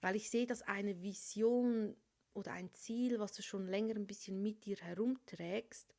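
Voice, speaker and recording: Woman, tarot reader, soft voice, whispers often, has a cold, mid pitch. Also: fairly even intonation, a little slow, gravelly. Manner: soft, slips into whispers over the cards. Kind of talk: tarot reading for a star sign.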